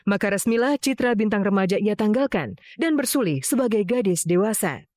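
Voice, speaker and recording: A woman reading formal scripted narration in a measured storytelling manner for an entertainment profile. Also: mid-range voice, expressive, a little mellow, no echo.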